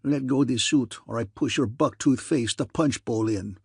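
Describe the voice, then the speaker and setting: A man voicing a brash, boastful con man who poses as a provincial count, gruff and pompous, with a thick invented aristocratic accent. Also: mid-range voice, normal speed, no room sound, studio quality. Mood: gruff, commanding. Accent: thick invented foreign aristocratic accent. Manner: gruff, pompous, scowling delivery.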